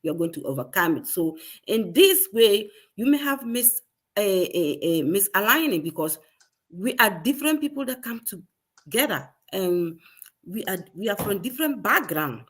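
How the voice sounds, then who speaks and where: A woman's voice: medium pitch, sing-song, moderate pace, husky. A woman from Ghana with a Ghanaian accent, talking earnestly and with frequent restarts as a guest in a casual business conversation. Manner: earnest, repeats herself, many restarts.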